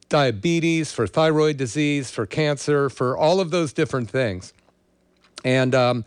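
An older American man talks as a show host in a conversational, meandering way, drifting into personal stories. His voice is smooth and clear at a middling pitch, recorded dry with very little room sound. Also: dry recording. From California, USA. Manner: rambling, conversational. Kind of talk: casual monologue with personal storytelling.

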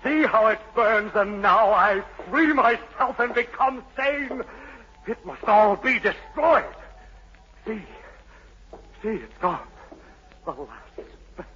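An actor playing a tormented son on the edge of madness, overwrought and theatrical, in an old radio drama. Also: male voice, sits high, lively intonation, slow. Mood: feverish relief. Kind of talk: 1940s-50s radio drama acting. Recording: muffled old radio broadcast, faint noise.

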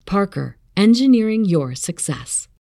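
Female corporate narrator, lower register, varied intonation, dry close recording. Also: sing-song, measured pace, warm tone, dry recording. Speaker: advert narrator.

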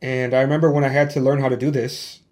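A man's voice: mid-range voice, flat delivery, quick pace, a little mellow. A man in his thirties with a Chicago accent, hosting a casual solo podcast and rambling along with many fillers and restarts. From Chicago, USA. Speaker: podcast host.

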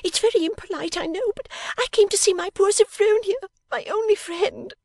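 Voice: very high voice, normal speed, dry recording, studio quality, voice pushed up. A reader voicing a silly, tearful young lady who gushes breathlessly while sobbing. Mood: tearful gushing.

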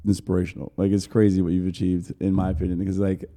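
A man's voice: deep voice, monotone, fast, dark tone. A man hosting a podcast interview, talking loosely with rambling questions and frequent restarts. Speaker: podcast host. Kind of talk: casual interview chat.

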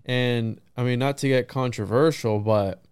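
Man, podcast host, smooth clean voice, dry close sound, medium pitch, casual, rambling, rephrases as he goes. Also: a little slow, a little mellow, dry recording. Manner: rambling, circles back to rephrase. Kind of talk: casual conversational interview.

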